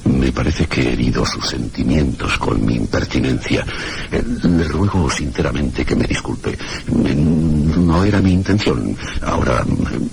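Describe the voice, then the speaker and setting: An actor playing a courteous, awkward, apologetic gentleman in a radio mystery, speaking formally and hesitantly. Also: rumbling low, lively intonation, unhurried, vocal fry, background noise, heavily acted. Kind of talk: Spanish radio drama acting.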